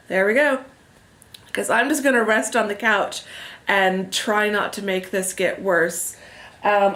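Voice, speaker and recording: Woman, head cold, stuffed-up nose, gravelly fry, mid pitch, chatty casual vlog talk. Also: a bit bright. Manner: chatty, rambling, self-deprecating. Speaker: vlogger. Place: indoors at a family house, on a couch.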